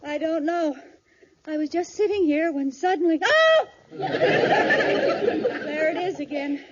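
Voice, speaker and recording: A woman's voice: extremely high pitch, expressive, slow pace, a faint crowd, exaggerated voice. A woman playing a scheming housewife who feigns sickness with theatrical frailty in a 1950s radio sitcom. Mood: groaning in pain. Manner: theatrical, with exaggerated groans and put-on frailty. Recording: muffled old radio recording, audience, hiss.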